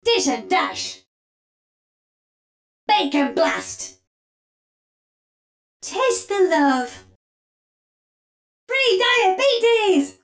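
A woman's voice: very high-pitched, very animated, extremely slow, pauses often, full character voice. A woman playing a feisty, sassy robot oven mom who bakes and fights, delivering theatrical punning one-liners for a video game. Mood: gleefully menacing. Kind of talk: video game character voice lines.

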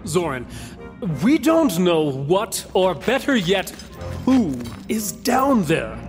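High-pitched, drawling man as a wary swordsman. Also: sing-song, slow pace, heavily acted. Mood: worried. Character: cautious, wary male swordsman adventurer. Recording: long hall reverb over music.

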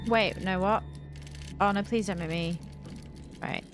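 A woman's voice: mid-range voice, fairly even intonation, unhurried, music in the background. A young adult woman giving casual let's-play commentary, thinking aloud in a playful, self-deprecating mutter. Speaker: gaming video host.

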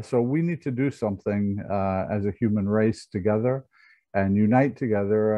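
A man in his sixties giving an informal slide presentation over a video call, speaking in a halting, reflective way. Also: on the low side, dry recording. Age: 60s. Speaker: company chairman, presenter. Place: online video conference. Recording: dull, lo-fi video call audio.

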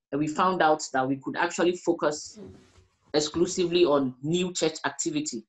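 Measured West African woman. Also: low voice, a little mellow, poor audio. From Ghana, also grew up in the Gambia. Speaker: interviewee, academic researcher. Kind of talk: explanatory interview answers. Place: small indoor room, plain wall.

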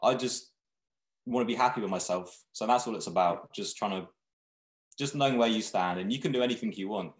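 A young man who founded a clothing brand, talking in a relaxed, rambling way with many restarts on a video call from a small room. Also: on the low side, a little fast. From Thailand, raised in England. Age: young adult. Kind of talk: casual video-call interview. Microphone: webcam video call. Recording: echoey room.

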